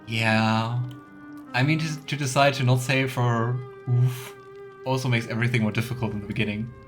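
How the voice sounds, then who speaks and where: Male let's play host: mid-pitched, casual, musing commentary with light role-play, faint music underneath. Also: steady tone, moderate pace. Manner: musing, thinking aloud.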